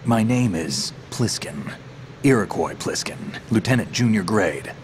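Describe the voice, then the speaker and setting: An actor playing a gruff, world-weary soldier in a video game, gravelly, terse and sardonic. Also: male voice, on the low side, lively intonation, a little slow, noisy background. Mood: guarded. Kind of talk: video game cutscene acting.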